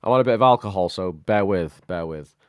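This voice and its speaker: A man hosting a casual tech livestream, chatty and greeting viewers by name, his voice a little croaky from a cold. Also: deep voice, a little fast, darkish tone, no room sound, studio quality. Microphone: studio microphone.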